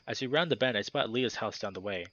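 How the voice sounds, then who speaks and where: A man hosting a let's play, reading a visual novel aloud in steady narration with animated, jokey asides. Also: on the low side, fast talker, dull audio.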